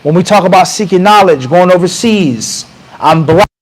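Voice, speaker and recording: A man in his thirties with an American accent, giving an animated, conversational lecture in a mosque and reciting Arabic passages. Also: high-pitched, moderate pace, poor audio. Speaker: mufti teaching a class. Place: small mosque room with bookshelves. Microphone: handheld mic close to mouth.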